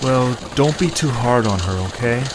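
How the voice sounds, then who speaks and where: A man's voice: medium pitch, expressive, moderate pace, background noise, close to an everyday voice. A man playing a kind, reluctant leader of small creatures, earnest and sympathetic, in a fan audio drama.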